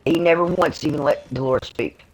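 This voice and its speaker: A woman's voice: sits low, fairly quick, breathy edge, rough recording. A middle-aged woman telling personal stories in a blunt, salty, casual way on a live stream, acting out what people said. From Ukraine. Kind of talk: casual chat, storytelling. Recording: music behind the voice.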